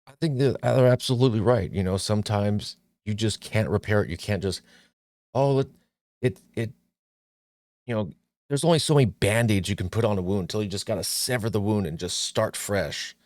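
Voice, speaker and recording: A man's voice: fairly low pitch, moderate pace, darkish tone, no echo, studio quality. A man hosting his own movie talk show, chatting casually with jokes and plenty of restarts. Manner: joking, rambling, frequent restarts and fillers.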